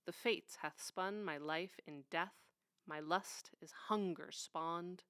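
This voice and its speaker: Slow, clear-voiced woman reciting poetry. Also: lowish voice, fairly bright tone, no room sound, clean studio sound. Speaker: poetry reader.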